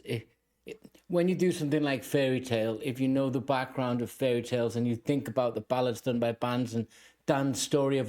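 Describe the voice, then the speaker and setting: A man hosting a music reaction video, musing aloud in halting, reflective phrases broken by exclamations. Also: mid-range voice, mellow.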